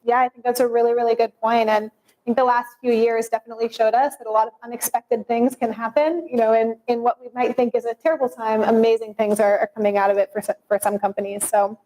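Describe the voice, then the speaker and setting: A woman is moderating a fireside chat on stage. Her voice sits a little high but has a mellow, soft tone. She is friendly as she steers the questions. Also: level tone, fast talker, poor audio.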